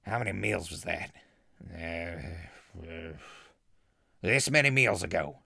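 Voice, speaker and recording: Folksy wasteland hermit, played by a man, swooping pitch. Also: animated, takes it slowly, gravelly, sharp tone, husky, no echo, clean studio sound, heavily acted. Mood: folksy, sure of himself. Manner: drawling backwoods talk, chummy. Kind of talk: comic post-apocalyptic audio drama acting.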